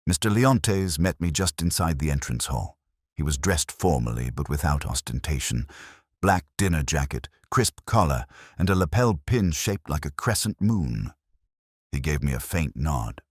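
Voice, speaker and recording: A man narrating a scripted story in a measured, formal manner. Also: rumbling low, lively intonation, no room sound, studio quality. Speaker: audiobook narrator.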